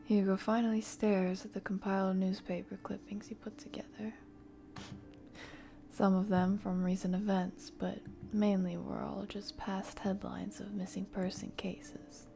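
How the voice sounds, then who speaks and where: A woman streaming ASMR, softly narrating a visual novel and often dropping into whispers. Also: mid-range voice, level tone, a little slow, gravelly. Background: soft game music.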